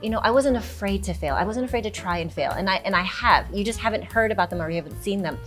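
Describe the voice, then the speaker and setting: A talk show guest from Houston tells her own story with plenty of laughter. She moves freely between a low, easy tone and bright bursts. Faint music sits under her voice. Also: fast talker. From Houston, Texas. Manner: animated, laughs often, jumps into high mock voices when quoting herself. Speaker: guest, travel show host.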